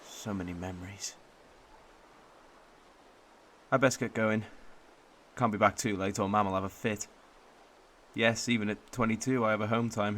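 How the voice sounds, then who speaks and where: A young man acting a wistful, homesick twenty-two-year-old in a gentle, reflective radio drama monologue. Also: fairly low pitch, a little hiss or hum, lightly acted.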